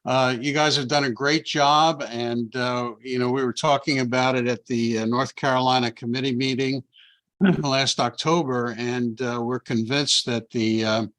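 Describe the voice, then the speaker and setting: A man giving informal remarks as an audience member on a video call, hesitant and pausing as he goes. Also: medium pitch, fairly even intonation, a bit bright. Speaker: state committee member thanking presenter.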